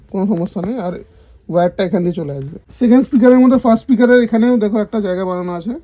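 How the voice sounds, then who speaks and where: A man with a soft-edged, mellow voice and an Indian Bengali accent explains a practical job. His delivery is that of a casual demonstrator working through steps by hand. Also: high voice, lo-fi sound. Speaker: installer demonstrating. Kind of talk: casual hands-on tutorial.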